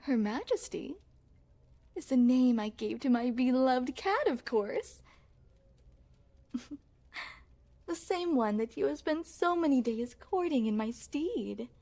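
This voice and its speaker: A woman's voice: sits high, lively intonation, slow pace, long pauses, mellow, full character voice. A woman playing a refined young crown princess in an ASMR roleplay, speaking formally and with coy poise straight to the listener. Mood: amused, confiding. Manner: formal, poised, genteel storytelling with coy amusement.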